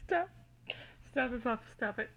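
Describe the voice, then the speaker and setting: A woman giving an amateur, theatrical and giggly dramatic reading of fan fiction, recorded in low quality on a phone. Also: lively intonation, measured pace, gravelly. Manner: giggly, theatrical, easily cracking up.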